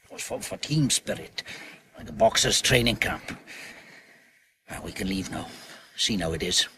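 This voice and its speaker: A bossy, edgy British robbery boss played by a male actor in a radio crime drama. His voice sits fairly low, and he hands out orders in clipped, commanding lines over clearly noisy outdoor ambience. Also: lively intonation, normal speed, close to an everyday voice. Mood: tense, instructing.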